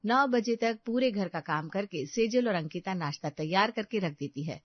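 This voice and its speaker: A woman's voice: mid-range voice, lively intonation, normal speed, no echo, muffled recording. A woman reading scripted narration as the storyteller of a domestic tale.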